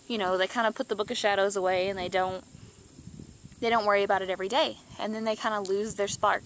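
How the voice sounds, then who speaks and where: A woman's voice: medium pitch, a bit bright, slight background noise. A young woman in her twenties with a stuffed-up nose from allergies, chatting casually to camera in a rambling way, full of restarts and fillers. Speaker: YouTuber.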